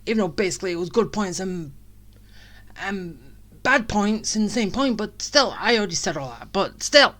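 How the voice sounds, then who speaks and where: A woman's voice: medium pitch, expressive, normal speed, a bit bright. A woman giving casual gameplay commentary, rambling and tossing teasing asides at the game's characters. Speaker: game streamer. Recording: game music behind the voice.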